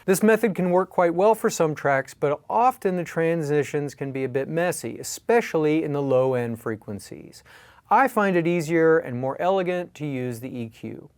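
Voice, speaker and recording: A man calmly explaining step-by-step techniques in a tutorial, as an instructor. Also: on the high side, normal speed, smooth, no echo. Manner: calm, explanatory. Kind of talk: tutorial narration. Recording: faint music behind.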